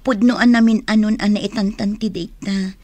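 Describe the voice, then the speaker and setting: A woman's voice: mid-range voice, fairly even intonation, normal speed, warm tone, no echo, lightly acted. A woman with an Ilocano accent acting in a radio drama as an evasive young woman who makes excuses to her suitor. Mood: weak, apologetic. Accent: Northern Philippine, Ilocano.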